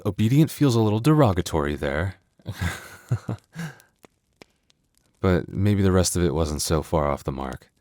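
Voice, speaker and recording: Male actor as young werewolf boyfriend for intimate audio roleplay: low-pitched, dry close sound, teasing yet devoted and soft-hearted. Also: no echo, close to an everyday voice. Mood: teasing, reflective. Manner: conversational, teasing, halting when flustered.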